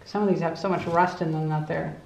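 A woman's voice: deep voice, fairly even intonation, fast, gravelly, a little mellow. Curious woman in an echoey basement. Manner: curious, technical, lots of fillers. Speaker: vlogger exploring a basement. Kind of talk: casual exploring commentary. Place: cluttered basement boiler room. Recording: echoey basement, dull camera audio, faint hiss.

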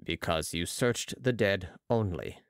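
A reader doing a grave, quiet mysterious master. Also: sits low, lively intonation, slow pace, no echo, clean studio sound, pitched below the performer's own voice, full character voice. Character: solemn mysterious Italian male master. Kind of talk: audiobook character reading.

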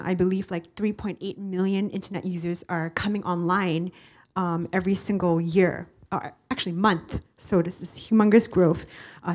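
A woman in her thirties moderating a conference panel on stage, speaking casually with frequent fillers into a handheld microphone. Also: mid-range voice. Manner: casual, many fillers, upbeat. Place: stage of a large hall with audience. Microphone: handheld mic.

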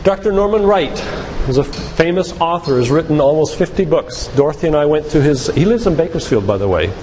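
An older man giving a sermon-like lecture in a church, speaking in an earnest, deliberate, emphatic way with repetition. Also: a little high, lively intonation. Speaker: doctor and guest church speaker. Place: church, live talk. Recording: echoey hall with loud background noise.

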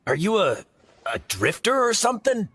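Gruff husky shopkeeper in a game, fed up, really swoopy pitch. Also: male voice, big pitch swings, normal speed, a bit bright, no room sound, heavily acted. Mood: frank, puzzled. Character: gruff, exasperated but decent market merchant man. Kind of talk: video game voice acting.

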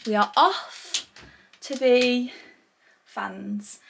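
A woman's voice: a little high, very slow, vocal fry, fairly bright tone, a little hiss or hum. A woman in her twenties with a British accent, chatting casually to camera in a gushing, informal vlog style at home. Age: 20s. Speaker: vlogger. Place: small room at home, indoors.

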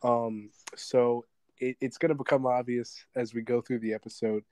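A young man co-hosting a podcast in casual chat, talking with a sore throat and a slight rasp, rambling with restarts and frequent laughs. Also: medium pitch, flat delivery, normal speed, dark tone, dry recording. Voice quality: slightly raspy. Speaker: podcast co-host.

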